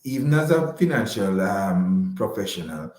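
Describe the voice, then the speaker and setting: A man speaking as an interview guest, measured and courteous, with slightly formal wording. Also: deep voice, very animated, a little mellow, roomy sound. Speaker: interview guest, financial advisor. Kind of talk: conversational radio interview.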